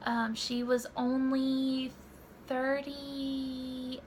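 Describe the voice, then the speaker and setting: A woman's voice: sits high, monotone, extremely slow, a little mellow. Young woman, rambling vlog chat, lots of fillers, camera mic, faint hiss. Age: 20s. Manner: rambling, many fillers and restarts. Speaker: vlogger. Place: home room.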